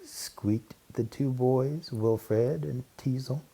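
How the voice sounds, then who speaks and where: A man reads a children's story aloud in a mid-pitched voice. He goes very slowly, with deliberate pauses and dramatic emphasis on key words. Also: gravelly, mellow, breathy edge, low-quality recording. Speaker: audiobook narrator.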